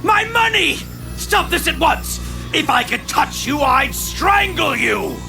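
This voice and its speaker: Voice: high voice, sing-song, creaky, pushed and bright, faint music behind, full character voice. An actor voicing a pompous, greedy tycoon hologram in an audio drama, theatrical and full of exclamation. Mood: horrified, furious. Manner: theatrical, exclaiming, drawn-out words. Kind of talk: scripted audio drama acting.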